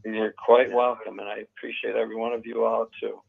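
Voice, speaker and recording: An older man speaks in a gravelly, husky voice over muffled call audio. He is folksy and effusive, a caller in a friendly group chat. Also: fairly low pitch, level tone, a little fast.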